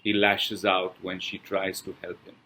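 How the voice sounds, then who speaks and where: A man reading a scripted film review as presenter, with measured, halting, deliberate phrasing. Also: low-pitched, completely flat delivery, bright, forceful tone, slight background noise, rough recording. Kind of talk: scripted read-aloud review with pauses.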